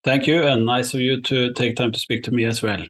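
A man speaking as an executive guest, giving considered interview answers in measured, halting phrases. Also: medium pitch, steady tone, a bit bright.

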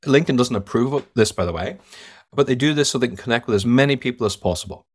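A man's voice: medium pitch, expressive, fast. Emphatic Irish man telling stories. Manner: emphatic, storytelling, blunt verdicts. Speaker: guest sales trainer. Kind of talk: podcast interview.

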